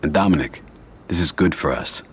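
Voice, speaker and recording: An actor playing a cold, calculating middle-aged mob boss who speaks calmly and with quiet command in a game cutscene. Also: male voice, rumbling low, slight background noise. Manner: calm, measured, quietly commanding.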